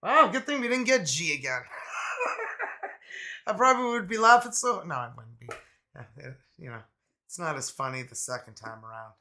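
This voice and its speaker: A man doing a casual, chatty tarot reading, laid-back and quick to laugh, his voice a little raspy from a cold. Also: on the high side, very animated, bright, forceful tone. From Canada. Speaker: tarot reader.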